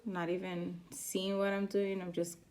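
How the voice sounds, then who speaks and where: A woman teaching a crochet pattern step by step, her voice raspy from a sore throat as she counts stitches aloud. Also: medium pitch, flat delivery, measured pace, darkish tone. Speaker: crochet instructor. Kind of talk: step-by-step craft tutorial.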